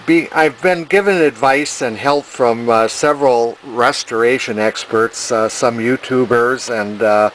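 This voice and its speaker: A man giving an informal, halting hands-on repair demonstration in an indoor workshop, explaining as he goes. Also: mid-range voice, fairly bright tone, slight background noise, poor audio. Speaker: amateur vintage TV restorer.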